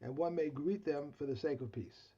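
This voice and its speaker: A man's voice: fairly high pitch, normal speed, muffled recording. A man who is losing his voice reading a text aloud in a study session over a video call. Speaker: study partner.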